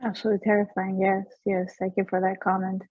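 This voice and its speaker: A woman's voice: medium pitch, completely flat delivery, quick pace, no echo, lo-fi sound. A woman from Peru moderating a virtual panel discussion, hesitant and searching for words.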